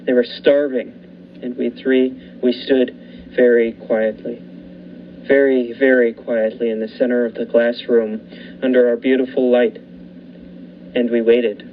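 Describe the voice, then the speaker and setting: A young man voicing a lighthouse keeper who narrates a suspenseful radio drama in a measured, dramatic way with lingering pauses. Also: medium pitch, little pitch movement, lightly acted. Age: young adult. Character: male lighthouse keeper recounting a nightmare. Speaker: student actor. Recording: muffled with faint hiss.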